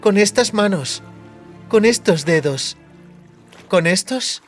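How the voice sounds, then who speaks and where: A man voicing a vengeful young woman in an audiobook, speaking in a deliberate, taunting manner. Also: sits high, expressive, soft background music, pitched above the performer's own voice. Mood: cold taunting.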